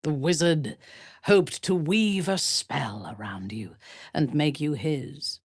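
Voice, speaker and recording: A ruthless dark elf warrior woman from a fantasy video game. Her voice is low and husky, and she speaks slowly and imperiously. Every phrase is deliberate, haughty and full of menace. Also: no echo, studio quality, exaggerated voice. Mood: haughty, measured. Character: ruthless, haughty adult female drow warrior zealot.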